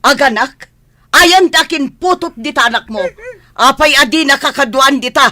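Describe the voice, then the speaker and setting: A woman's voice: high voice, normal speed, sharp tone. A woman with an Ilocano accent playing an exasperated, sarcastic mother who scolds in comedy radio drama style. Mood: impatient.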